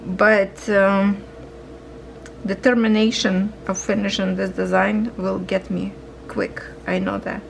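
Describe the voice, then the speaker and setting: Slow gravelly-voiced woman stitching and chatting. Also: medium pitch, flat delivery, a little hiss or hum, rough recording. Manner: slow, musing, talks to viewers while working. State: losing her voice, coughing. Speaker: cross-stitch vlogger. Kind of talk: casual chat while stitching.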